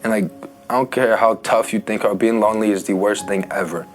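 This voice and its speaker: A nineteen-year-old man telling his life story in a casual interview, halting and full of fillers and restarts. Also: lowish voice, fairly even intonation, faint music behind. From Ukraine. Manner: halting, filler-heavy speech with many restarts. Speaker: interview guest sharing testimony. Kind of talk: casual personal testimony.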